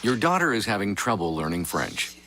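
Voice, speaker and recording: Deep-voiced guy reading a psa, reassuring, loud music under him. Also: sing-song, fairly quick. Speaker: ad narrator. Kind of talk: public service ad voice-over.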